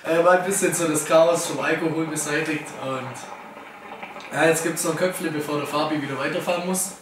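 A man's voice: on the high side, fairly even intonation, moderate pace, background noise. A young man chatting casually in a living room, laid-back and a little hungover. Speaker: co-host. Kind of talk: casual chat. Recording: echoey room from a distance.

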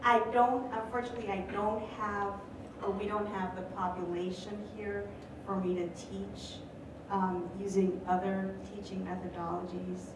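A woman professor of Spanish asks a long question from the audience, pausing on many ums. She is heard from far away in a large echoing hall. Noise runs steadily underneath. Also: medium pitch, little pitch movement. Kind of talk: audience question at live event. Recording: echoey hall, far from mic.

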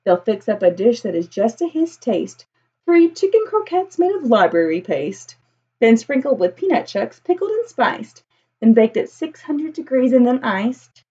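Woman 30s doing kids read-aloud, highish voice, singsong rhymes, slightly muffled webcam sound. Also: big pitch swings, normal speed, dark tone, slightly rough. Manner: singsong, rhythmic rhymed delivery. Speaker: teacher reading aloud. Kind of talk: expressive children's picture-book read-aloud. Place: small indoor room with bookshelves.

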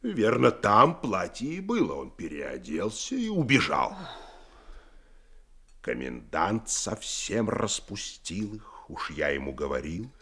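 An actor playing a stern, imperious admiral and father in a radio drama, gruff and commanding. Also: deep voice, wildly swooping pitch, slow, pushed and bright, exaggerated voice. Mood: stern, indignant.

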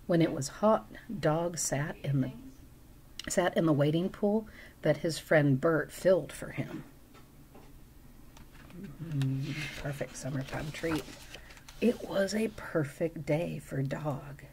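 A middle-aged woman reading a picture book aloud as a storytime reader, with chatty asides and expressive, drawn-out emphasis. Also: low-pitched, a little hiss or hum. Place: small room at home.

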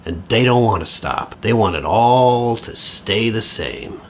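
An awkward middle-aged man in an audio play, eager to please. His speech is halting and earnest, with a very lively pitch. There is clear ambient noise behind him. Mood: earnest, persuading. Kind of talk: naturalistic audio drama acting.

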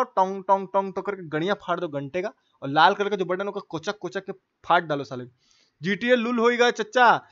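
A young man with a high, bright and forceful voice talks to his livestream audience. He is chatty and informal, using slang and coaxing viewers along. The sound is slightly dull and lo-fi. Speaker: gaming livestreamer. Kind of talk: casual livestream chat with viewers.

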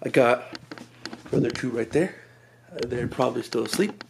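A man's voice: medium pitch, lively intonation, normal speed, creaky, a little mellow, slight background noise. A young man talking to a handheld camera at home in a joking, teasing way. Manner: joking, teasing, laughs often. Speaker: vlogger. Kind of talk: casual vlog chat. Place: small house rooms, indoors. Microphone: handheld camera at arm's length.

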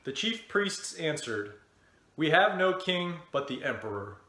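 Casting: man, 30s, fairly high voice, solemn Bible reader, echoey room. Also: big pitch swings, unhurried, pushed and bright. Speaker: church member reading scripture. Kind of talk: solemn scripture reading. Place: indoor room with bookshelves. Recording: roomy indoor echo.

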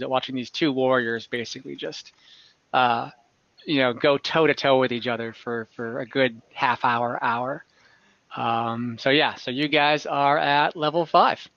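A man narrating an online tabletop game as game master, casual, halting and lightly amused, improvising as he goes. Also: medium pitch, sharp tone, no echo. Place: at home indoors on a group video call. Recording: slightly dull video-call audio.

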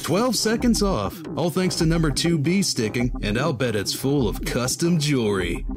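An actor playing a cocky professional bank robber talking to himself in a comic book audio drama, with loud music underneath. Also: male voice, on the high side, big pitch swings, normal speed. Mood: smug.

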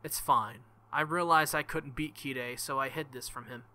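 A man's voice: medium pitch, steady tone, moderate pace, bright, forceful tone. A man reading the lines of a proud, bossy but caring teenage girl mage in his own voice. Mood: reassuring.

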